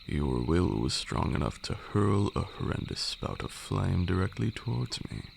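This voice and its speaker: A man playing an ancient, haughty vampire lord in an ASMR roleplay, a condescending mentor with formal, archaic speech and a gravelly voice. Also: moderate pace, full character voice. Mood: stern, haughty. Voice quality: very deep, gravelly. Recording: steady noise with night insects. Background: crickets and night insect ambience.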